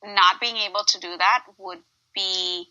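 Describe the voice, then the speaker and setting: Guest: a bright-toned woman in her thirties from Dubai, animated and chatty, dull lo-fi podcast sound. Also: mid-range voice, unhurried. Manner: animated, chatty, laughs often. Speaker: podcast guest, home cook. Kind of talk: casual interview chat.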